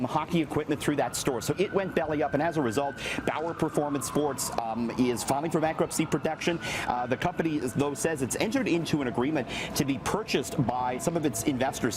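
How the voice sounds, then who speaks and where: A middle-aged Canadian man giving a conversational business news report in an animated, chatty, explanatory way. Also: mid-range voice, noisy background.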